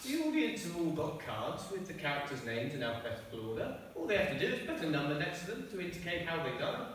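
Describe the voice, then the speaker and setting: A man's voice: a little high, sing-song, fast talker, fairly bright tone, far from the mic, slight background noise. A man playing a hesitant, flustered fellow actor in a live comic radio-play staging. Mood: sheepish. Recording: echoey hall, picked up from afar.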